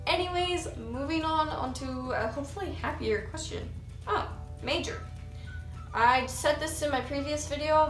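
A woman's voice: sits high, reverberant, far from the mic. An eighteen-year-old American woman chatting to camera in a casual vlog, talking with her hands. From United States. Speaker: YouTuber. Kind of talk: casual get-to-know-me vlog. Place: small room with cinder block wall and couch, indoors. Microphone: camera mic a few feet away.

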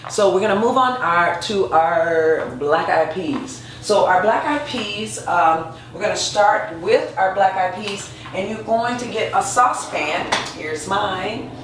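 A woman's voice: medium pitch, animated, takes it slowly, hardly any pauses. A middle-aged woman walks viewers through a home recipe in a chatty, encouraging way, often restarting her sentences. Her voice rings around a hard, echoey kitchen and is picked up from a distance by the camera, with a faint room hum underneath. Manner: chatty, encouraging, with frequent restarts and light laughs. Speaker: cooking instructor and nutritionist. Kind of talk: casual cooking demonstration. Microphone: far camera.

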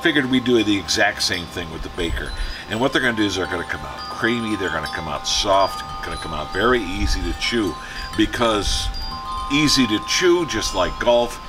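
A man's voice: lowish voice, expressive, moderate pace, over music. A man in his sixties hosting a casual home cooking video in a folksy manner, with jokey asides. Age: 60s. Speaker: host of a home cooking channel. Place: home kitchen and backyard patio.

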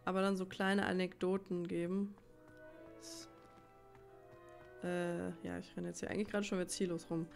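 A woman's voice: lowish voice, little pitch movement, moderate pace, vocal fry, long pauses, darkish tone. A woman giving casual gameplay commentary, rambling and thinking aloud as she plays. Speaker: let's player. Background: game music.

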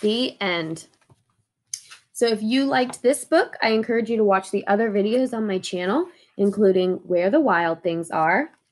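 A young adult woman reading a picture book aloud as host, with expressive, deliberate storytelling. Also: mid-range voice. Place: small indoor room.

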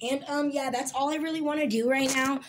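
A woman with a raspy voice, a little sick and clearing her throat, chatting casually behind a phone camera in a room, with lots of ums and likes. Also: high voice, steady tone, fairly quick, slight background noise. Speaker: pet channel host. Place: small room indoors. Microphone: handheld phone, mostly behind the camera.

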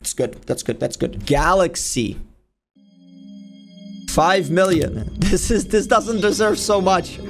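A man's voice: high voice, expressive, slow pace, gravelly, pauses often, soft background music. A man in his twenties giving casual, jokey reaction commentary in informal language, as a video host. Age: 20s. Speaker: YouTube host reacting to game cutscenes. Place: small home room, indoors.

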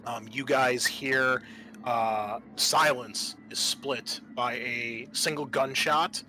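Halting man running a game over background noise. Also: medium pitch, fairly even intonation, sharp tone. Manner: hesitant, halting, thinking aloud. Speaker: game master. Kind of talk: narrating and explaining rules of a tabletop roleplaying game. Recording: clear background noise, amateur podcast.

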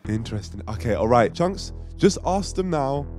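A man's voice: medium pitch, animated, normal speed, a little mellow, faint music behind. A young man co-hosting a dating game show, cracking jokes and prompting his friend. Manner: joking, prompting. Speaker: co-host. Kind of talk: casual show commentary.

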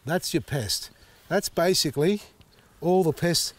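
A man, slightly husky as his voice recovers, chatting casually while demonstrating repotting outdoors in his garden. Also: fairly high pitch, a little slow. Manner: chatty, thinks out loud, many fillers. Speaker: gardening show host. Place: outdoor garden beside a tall hedge.

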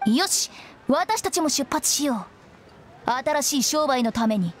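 A woman's voice: high voice, expressive, measured pace, noisy background. A woman voicing a playful, mischievous teenage girl with a teasing, theatrical way of talking in an anime game. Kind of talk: Japanese anime game voice acting. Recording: game music behind the voice.

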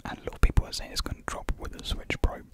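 Young guy whispering asmr style right up on the mic, breathy n unhurried, just casually rambling. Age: young adult. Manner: hushed, unhurried, informal. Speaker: ASMR video host. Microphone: desktop USB microphone up close.

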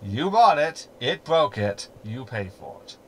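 Man as a snarky, lively-pitched hologram companion. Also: measured pace, a little hiss or hum. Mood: teasing. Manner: glib, verbose, sardonic. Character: snarky, meddling male hologram ship companion. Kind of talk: sci-fi sitcom audio drama acting.

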